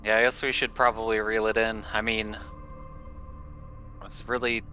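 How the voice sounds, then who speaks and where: A man's voice: fairly low pitch, flat delivery, moderate pace, pushed and bright, lo-fi sound, faint music behind. A man playing in a tabletop roleplaying game, chatting casually, with faint noise on the recording.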